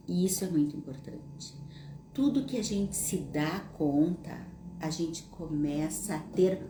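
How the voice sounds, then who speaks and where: A woman's voice: mid-range voice, dark tone, roomy sound, a little hiss or hum. A woman with a Gaúcho Brazilian accent, hosting her own show, who talks through questions from her audience in a casual, explanatory way, often asking them rhetorical questions. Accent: Gaúcho Brazilian Portuguese. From Brazil, Rio Grande do Sul. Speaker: psychologist host answering audience questions.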